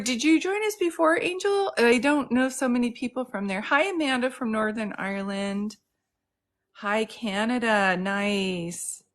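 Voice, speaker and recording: Brief: middle-aged woman, mid pitch, casual livestream host, chatty, greets viewers by name, phone mic. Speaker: psychic medium host. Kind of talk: casual live-stream chat. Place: home in Santa Cruz.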